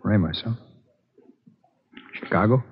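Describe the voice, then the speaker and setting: An actor in a 1950s radio crime drama playing a cocky, prying jailed killer with a slangy, needling way of talking. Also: male voice, lowish voice, slow pace, a little hiss or hum. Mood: casual, probing. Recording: dull old radio recording.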